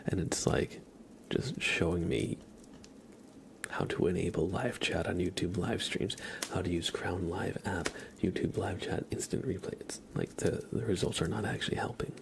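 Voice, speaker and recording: A soft-spoken man hosting an ASMR livestream, chatting casually and unhurriedly close to the mic, drifting between whispers and a low murmur. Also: normal speed, creaky, background noise. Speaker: ASMR live streamer.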